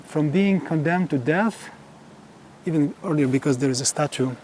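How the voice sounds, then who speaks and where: A man whose first language is Polish, a monk explaining his monastery grounds on a tour in slow, earnest English. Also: fairly high pitch, darkish tone, slight background noise. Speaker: Carmelite monk giving a tour. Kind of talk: casual guided tour conversation.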